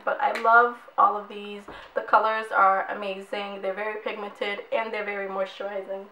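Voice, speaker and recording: Young woman beauty vlogger, hoarse, chatty and rambling, slightly high voice, echoey home room. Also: fairly bright tone, a little hiss or hum. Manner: chatty, rambling, repeats words for emphasis. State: hoarse, losing her voice. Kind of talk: casual product review.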